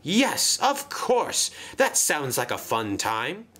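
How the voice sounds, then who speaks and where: A man voicing a chatty, naive, excitable female engine in a children's train story, gushing and easily flustered. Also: on the high side, slow, voice pushed up, full character voice. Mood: eager.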